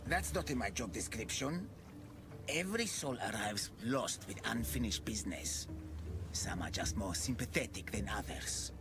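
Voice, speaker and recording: Game role: wry, world-weary ferryman of the dead, sardonic and highly melodic, over clear background music. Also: lively intonation, moderate pace. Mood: matter-of-fact. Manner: wry, sarcastic, theatrical. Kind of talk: video game voice acting.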